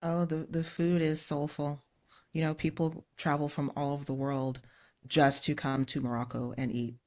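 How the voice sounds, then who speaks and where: A guest from the United States speaks in a casual interview. The delivery stays flat and level, with very little rise and fall. The pitch sits in the middle range. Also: moderate pace. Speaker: guest, business co-founder.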